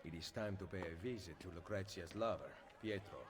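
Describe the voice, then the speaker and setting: An actor playing a confident Italian assassin leader in a video game, speaking in an assured, deliberate way with an Italian accent. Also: male voice, low-pitched, big-room sound, close to an everyday voice. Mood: serious. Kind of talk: dramatic video game cutscene acting.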